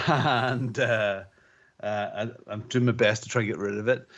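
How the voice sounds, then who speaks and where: A man co-hosting a casual podcast, chesty with a lingering cough, rambling with halting restarts. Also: lowish voice, steady tone, fairly bright tone, lo-fi sound. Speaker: podcast co-host. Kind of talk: casual chat.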